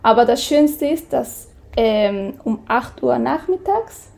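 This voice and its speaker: Slow-spoken Swiss woman with gravelly voice. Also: fairly high pitch, lively intonation, darkish tone. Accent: Swiss German. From Switzerland. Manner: hesitant, searching for words with many fillers. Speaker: guest. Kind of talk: casual interview.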